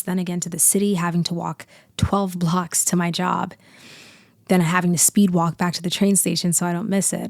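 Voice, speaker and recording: Low, creaky, commanding lady boss played by a woman. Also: no echo, studio quality. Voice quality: deep, slightly creaky, hushed in places. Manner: slow, deliberate, commanding, with weighty pauses. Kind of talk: ASMR roleplay acting.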